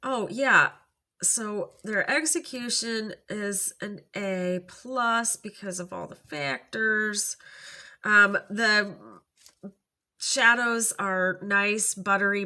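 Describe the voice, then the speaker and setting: Stuffy-nosed middle aged woman doing a makeup review at home, slow and rambly, lots of ums. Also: medium pitch, pushed and bright. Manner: chatty and rambling, many ums and self-corrections. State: stuffy nose. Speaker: makeup vlogger. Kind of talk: casual makeup review chat.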